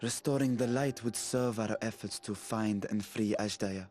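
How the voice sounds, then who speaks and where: Purposeful male warrior man acting, fantasy game drama. Lower register, formal and stoic, soft music behind. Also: moderate pace, lightly acted. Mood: stern, resolute. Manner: formal, matter-of-fact. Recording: background music under the voice.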